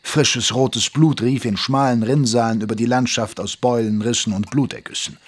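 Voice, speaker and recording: A man playing an ageing Victorian doctor, a loyal, wry companion who tells the story in a measured, literate way in an audio drama. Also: medium pitch, fairly even intonation, husky, natural voice. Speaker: narrator and character in an audio drama. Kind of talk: German audio drama narration and dialogue.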